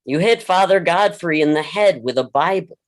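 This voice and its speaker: An actor plays a blunt, world-weary mentor in a staged drama. The voice sits at a middling pitch, and the delivery is deliberate and earnest, with a dry frankness. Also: expressive, lightly acted. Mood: stern, frank. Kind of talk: staged play reading, dialogue and monologue.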